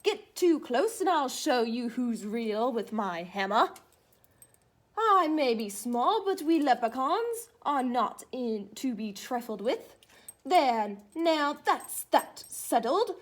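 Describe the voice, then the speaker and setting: A woman's voice: sits high, big pitch swings, slow pace, heavily acted. A woman reading the part of a feisty, proud little old leprechaun cobbler from a storybook, with boastful, folksy phrasing.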